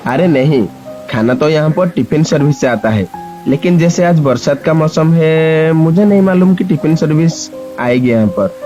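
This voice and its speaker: A man playing a caring, smitten young office boss in an animated story, gently insistent, with music and rain behind him. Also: on the high side, moderate pace, dull audio, natural voice. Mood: caring, reassuring.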